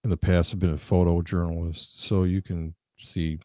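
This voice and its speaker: A man nearing fifty, a guest on a casual live stream, talking haltingly with many fillers. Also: deep voice, flat delivery, mellow, dry recording, studio quality. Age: 40s.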